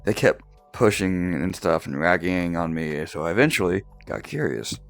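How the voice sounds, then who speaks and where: A man's voice: low voice, animated, moderate pace, gravelly, no echo, soft background music. A young man, just woken up and groggy, casually telling a personal story as a vlogger, laughing often and restarting his words. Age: young adult.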